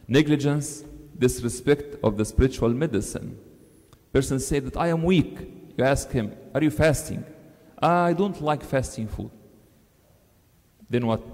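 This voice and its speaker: A man, a priest giving an earnest sermon into a lectern microphone, lifting his pitch to stress key words. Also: mellow. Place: large church, seated at a lectern. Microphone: stand mic on the lectern. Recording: long church reverb.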